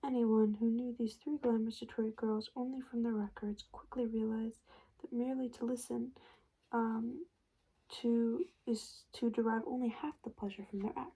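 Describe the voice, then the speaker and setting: A woman speaking softly and breathily in an ASMR roleplay, often slipping into a whisper, chatty and a little hesitant. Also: a little high, flat delivery, normal speed, slightly rough, low-quality recording. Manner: hesitant, chatty, murmured reading aloud. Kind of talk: soft-spoken ASMR roleplay. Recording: noticeable background noise. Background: paper and tapping sounds.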